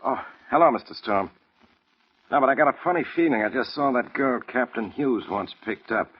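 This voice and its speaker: Beat cop, husky voice, colloquial, streetwise, 1950s radio drama, muffled recording. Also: male voice, mid-range voice, lively intonation, normal speed. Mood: uneasy. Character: plain-spoken male beat cop.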